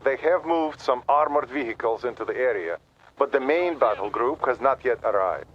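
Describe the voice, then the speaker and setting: An actor playing a thoughtful Soviet intelligence officer with a Russian accent, giving measured, matter-of-fact reports in a military video game. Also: male voice, mid-range voice, expressive, close to an everyday voice. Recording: dull radio sound, faint battle noise.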